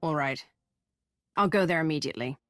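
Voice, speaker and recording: Deep, commanding Sith woman. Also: a little fast, a bit bright, no echo, studio quality. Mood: brisk. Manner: direct, controlled, questioning. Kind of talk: video game voice acting.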